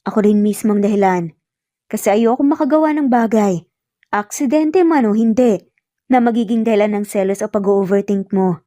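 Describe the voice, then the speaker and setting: A woman voicing an earnest, teasing young male engineer in an audio romance story, speaking gently and sincerely with bits of English mixed in. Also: mid-range voice, normal speed, dry recording, studio quality, close to an everyday voice. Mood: calm explaining.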